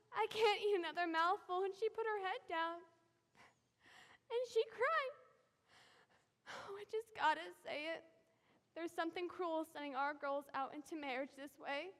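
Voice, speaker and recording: A middle-aged mother who frets aloud on her daughter's wedding day, close to tears. Her voice sits very high, and it comes from an old film with a long echo. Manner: rambling, fretful, close to tears. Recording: reverberant old film soundtrack.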